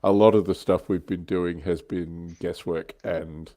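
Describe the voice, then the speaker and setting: A man's voice: sits low, steady tone, a little slow, warm tone. A middle-aged man co-hosting a casual livestream chat, bantering in a tongue-in-cheek way. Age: middle-aged or older. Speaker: livestream co-host. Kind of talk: casual panel chat about tabletop wargaming.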